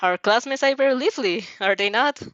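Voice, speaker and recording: A woman voicing a polite, modest teenage heroine with a demure, gently teasing manner in a story reading. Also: on the high side, fairly quick, no room sound, highs cut off, close to an everyday voice. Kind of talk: fan fiction character reading.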